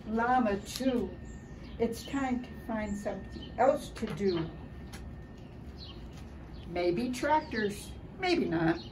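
An older woman reading a children's picture book aloud in an expressive, deliberate way, dramatizing it for young children. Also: a little high. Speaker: early childhood storybook reader. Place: outdoors by a building wall and door. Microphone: camera a short distance away. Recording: distant mic, clear background noise outdoors.

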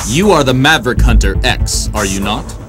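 A man's voice: medium pitch, lively intonation, normal speed, over loud music, lightly acted. Man as a formal, earnest robot fighter. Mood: grave, formal. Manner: stiff, formal, uncontracted speech. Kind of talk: video game cutscene acting.

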